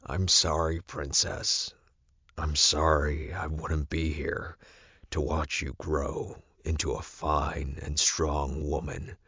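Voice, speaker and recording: A man's voice: extremely low pitch, flat delivery, takes it slowly, gravelly, sharp tone, husky, no echo, highs cut off, heavily acted. A man playing a sorrowful dying father speaking tenderly in an audiobook.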